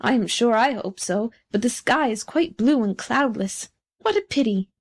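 A chatty little storybook frog, put on by a woman reader. He gushes on in a high voice, self-satisfied and talkative. He is a creature who loves his muddy ditch. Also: lively intonation, normal speed, slightly rough.

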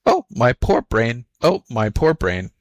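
A man voicing a sly storybook jackal who acts flustered and slow-witted, fretful and full of exclamations. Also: mid-range voice, very animated, no room sound. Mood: flustered, feigned distress. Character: sly jackal feigning muddled, whimpering stupidity. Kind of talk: storybook character voice.

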